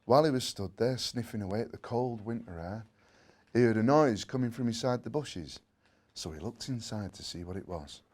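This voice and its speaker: A man reading a children's story aloud in an expressive way, with playful asides. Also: lowish voice, moderate pace. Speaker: story reader.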